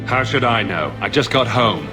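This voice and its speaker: A man's voice: mid-range voice, lively intonation, normal speed, over music, lightly acted. An actor playing a blunt, serious male hero in a fantasy game, matter-of-fact in manner. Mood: curt. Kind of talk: dramatic game acting.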